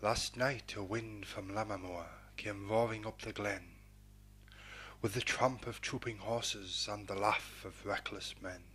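A man hosting a poetry programme and reading verse in a measured, dramatic, declamatory manner with a husky voice. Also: on the low side, steady tone, bright, forceful tone, a little hiss or hum. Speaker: poetry programme host and reader.

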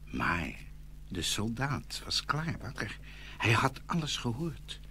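A man's voice: medium pitch, wildly swooping pitch, slow pace, bright, forceful tone, a little hiss or hum. A man narrating a scripted fairy tale as a dramatic storyteller.

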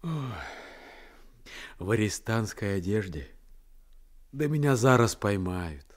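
An actor playing a humble, anxious peasant prisoner in a radio drama, speaking with a Ukrainian accent and a husky voice. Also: male voice, on the low side, lively intonation, takes it slowly. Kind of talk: Soviet radio drama acting.

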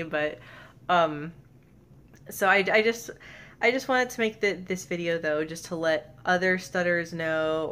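Soft-spoken young woman who stutters. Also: fairly low pitch, slight background noise. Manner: stutters with repeated sounds and blocks. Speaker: vlogger. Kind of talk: personal vlog talk to camera. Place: bedroom, indoors. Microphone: camera close in front.

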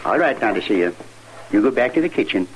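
Earnest older frontier homesteader. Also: male voice, lowish voice, very animated, a little fast. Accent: rural American. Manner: folksy, earnest. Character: contented, plain-spoken older male homesteader, a widower. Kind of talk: 1950s radio western acting. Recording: noisy vintage 1950s radio recording.

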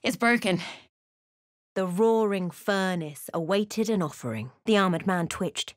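An actress playing an observant, wry woman adventurer in a fantasy video game, with measured, dramatic storytelling delivery. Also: mid-range voice, no room sound, studio quality, natural voice. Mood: animated, emphatic. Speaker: voice actor for a fantasy role-playing game companion or player character. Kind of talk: scripted video game voice lines, narration and character remarks.

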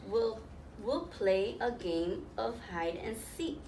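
Slow-paced woman reading to young children. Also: on the high side, animated, darkish tone. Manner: pauses to ask listeners questions and explain. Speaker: volunteer children's story reader. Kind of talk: picture-book read-aloud to young children. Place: small classroom, indoors, seated on floor. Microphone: camera a few metres away. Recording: roomy, distant mic, noticeable background noise.

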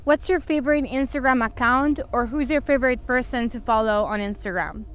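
A woman's voice: fairly high pitch, a little fast. A woman interviewer putting short, simple questions to a guest. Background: music.

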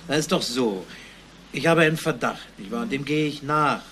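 A man playing a dogged, pondering detective chief in a crime radio play, halting and questioning. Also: medium pitch, sharp tone, a little hiss or hum, natural voice. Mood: insistent. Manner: halting, questioning, repeats himself.

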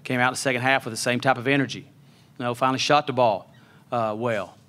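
A man's voice: mid-range voice, steady tone, fast talker, bright, forceful tone. A middle-aged man, a basketball head coach, talking through a loss at a postgame press conference with many fillers and restarts into a table mic. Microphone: table mic on a stand.

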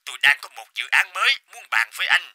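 A man voicing a demanding, authoritative male company chairman in a cartoon, speaking in a brisk, commanding manner. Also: a little high, very animated, bright, forceful tone, full character voice. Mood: impatient, commanding. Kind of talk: cartoon voice acting.

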